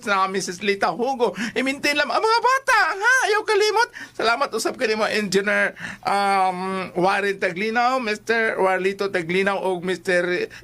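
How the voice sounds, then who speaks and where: A male radio co-host with a Cebuano Bisaya accent and a bright, forceful voice. He casually rattles through sponsor plugs and shout-outs, pausing over names and getting exclamatory about the product. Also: high voice.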